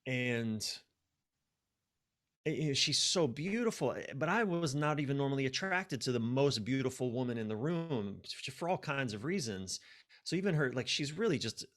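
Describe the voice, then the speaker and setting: A middle-aged man talking reflectively and conversationally as a podcast guest, emphatic, often halting and repeating words. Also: medium pitch, lively intonation, fairly bright tone. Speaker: podcast guest, life and relationship coach. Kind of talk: conversational, reflective interview talk.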